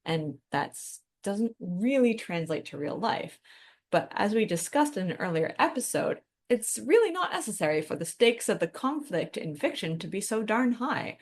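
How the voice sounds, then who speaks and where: A woman co-hosting a podcast, talking through ideas in a casual, analytical and measured way. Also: mid-range voice, very animated. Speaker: podcast co-host.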